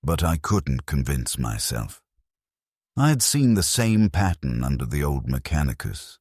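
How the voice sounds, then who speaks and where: A man with an extremely low, husky voice narrates a scripted first-person story. His delivery is somber and measured. The sound is dry, with no room around it. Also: very animated, darkish tone, no echo.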